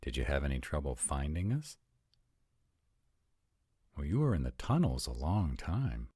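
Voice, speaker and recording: A man playing an eager, awkward male dragon welcoming people in a fantasy audio roleplay, with excitable, informal phrasing. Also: rumbling low, a little slow, husky, no echo, clean studio sound, full character voice. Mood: flustered, welcoming.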